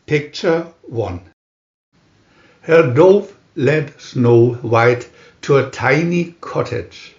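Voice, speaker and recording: A man reading a scripted storybook aloud in a deliberate, measured manner with pauses between captions. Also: mid-range voice, a little mellow. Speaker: storybook reader. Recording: roomy, dull lo-fi sound.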